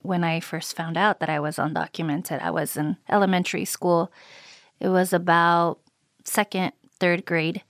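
A woman born in Mexico recalling her life in an interview, reflective and unhurried with frequent ums and uhs. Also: fairly low pitch, flat delivery, dry recording, clean studio sound. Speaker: interviewee, immigrant rights advocate. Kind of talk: recorded interview recollection.

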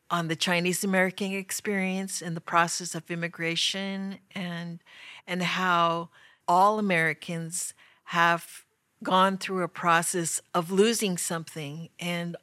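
A woman artist talking reflectively about her work in an interview. She speaks thoughtfully and pauses often. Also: on the low side, flat delivery, pushed and bright, dry recording. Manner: slow, thoughtful, pausing often.

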